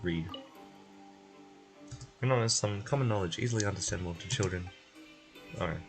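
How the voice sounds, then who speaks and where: A man's voice: low voice, normal speed, soft background music. A man reading game dialogue aloud as a streamer. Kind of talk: live game read-aloud.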